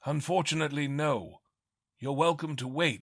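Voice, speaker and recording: A man's voice: fairly high pitch, normal speed, fairly bright tone, dry recording, clean studio sound. An actor playing a polite, formal older male butler with polished diction.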